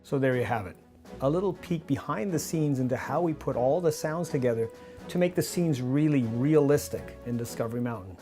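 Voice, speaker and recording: A man in his fifties with a North American accent presents a scripted behind-the-scenes explainer in a measured, clear manner. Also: medium pitch, mellow, faint music behind. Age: 50s. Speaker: radio drama director and presenter. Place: small indoor studio office with monitors. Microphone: lavalier on shirt.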